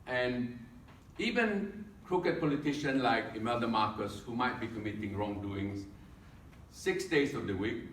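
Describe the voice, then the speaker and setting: A man with a Filipino accent giving a humorous prepared speech at a contest, joking in a dry way and pausing for laughs. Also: mid-range voice, slight background noise. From Philippines. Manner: deadpan joking with pauses for laughs. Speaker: contest speaker. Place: meeting hall. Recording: echoey room from a distance.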